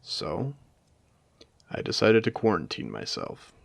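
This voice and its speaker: A man playing a wry, courteous AI that was once human, speaking formally and deliberately in a dramatic audio roleplay. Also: on the low side, big pitch swings, vocal fry, breathy edge, dry recording, full character voice. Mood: grave, reminiscing. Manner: articulate, theatrical, deliberate, formal wording. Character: wry, courteous male AI, once human, haunting a ruined casino. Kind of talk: dramatic audio roleplay monologue addressed to a listener.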